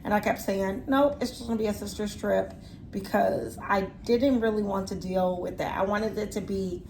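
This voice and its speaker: A woman's voice: medium pitch, fairly even intonation, moderate pace, vocal fry, darkish tone, a little hiss or hum, low-quality recording. Chatty woman in her forties. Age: 40s. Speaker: vlogger. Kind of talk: casual chat.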